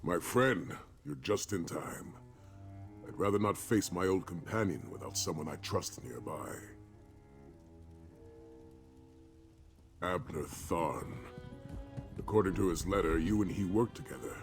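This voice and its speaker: An actor playing a gruff, weary but loyal veteran warrior in a video game, talking in a measured, dry, formal way. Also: male voice, low-pitched, lively intonation. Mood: guarded, uneasy. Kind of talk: video game character dialogue. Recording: music clearly under the voice.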